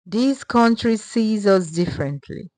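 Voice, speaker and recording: A woman narrator voicing a calm, firm father in a storybook tale, speaking in his steady, serious tone. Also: medium pitch, measured pace, no room sound, lightly acted, poor audio.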